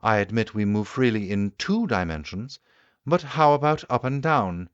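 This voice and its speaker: Guy doing an eager victorian inventor for an audiobook, pitched way up, lecturing and emphatic, dry dull recording. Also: sing-song, moderate pace, clear, resonant tone, no room sound. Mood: challenging, assured. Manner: emphatic, didactic, declamatory. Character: Victorian gentleman inventor, eager, assured, lecturing his guests. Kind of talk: audiobook character acting.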